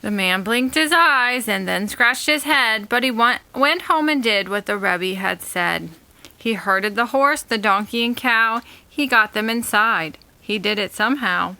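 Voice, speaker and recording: A woman narrates a rhyming storybook aloud. Her voice is bright and a little high, and she reads slowly and expressively, with deliberate phrasing. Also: animated, vocal fry. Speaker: narrator and reading leader.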